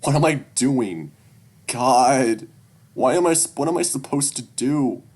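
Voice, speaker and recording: A man voicing a distraught young male furry animal, pleading desperately in a shrill, strained voice in a dramatic story. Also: slow pace, warm tone. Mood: frustrated distress.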